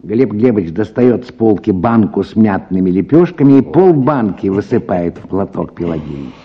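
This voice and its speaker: A man narrating a short story in an expressive, scripted radio drama style. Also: lowish voice, normal speed, husky, lo-fi sound.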